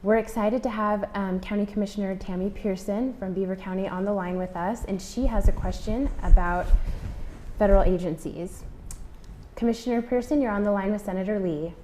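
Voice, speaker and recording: A woman moderating a phone town hall, reading smooth scripted introductions. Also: mid-range voice, brisk, mellow. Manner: smooth, read-from-script delivery.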